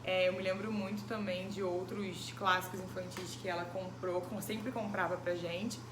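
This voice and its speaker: A woman's voice: fairly low pitch, level tone, normal speed. Hesitant woman in her twenties. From Brazil. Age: 20s. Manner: thoughtful, many hesitant fillers. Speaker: interviewee. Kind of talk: casual recorded interview. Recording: echoey room with background noise.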